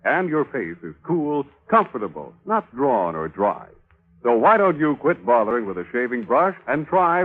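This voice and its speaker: A man reading a polished sales pitch as a commercial announcer in 1940s radio style. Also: slightly rough. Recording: muffled 1940s radio recording.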